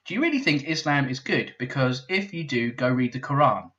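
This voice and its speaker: A British man voicing a ranting, angry male internet commenter, loud and indignant. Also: mid-range voice, expressive, a little fast, lo-fi sound, lightly acted. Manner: shouty, indignant, escalating.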